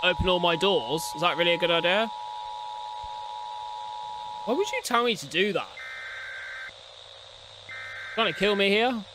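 Young man, higher-pitched, reacting casually. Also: expressive, a little slow, long pauses, noisy background. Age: young adult. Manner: slangy, muttered asides, blunt swearing. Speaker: reaction video host. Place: indoor room at home. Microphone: close desk condenser mic, headset on.

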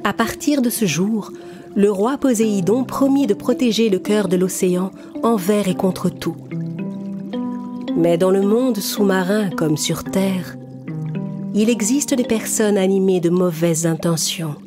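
A woman narrating a children's cartoon tale in clear, even storytelling. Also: medium pitch, measured pace, music in the background.

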